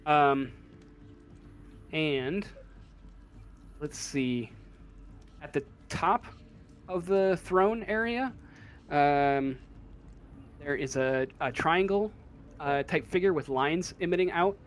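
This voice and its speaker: A man with a slightly high voice narrates a tabletop role-playing game as game master. He talks slowly and haltingly, often pausing to find the right word as he describes things. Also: expressive. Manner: halting, searching for words as they describe.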